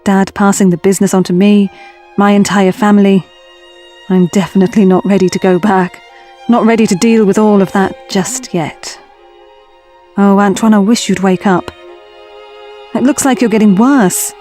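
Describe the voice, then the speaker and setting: A woman's voice: medium pitch, steady tone, normal speed, pauses often, mellow, soft background music, lightly acted. A woman playing a caring, troubled young time traveller in an audio drama, earnest and confiding. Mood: reflective, worried.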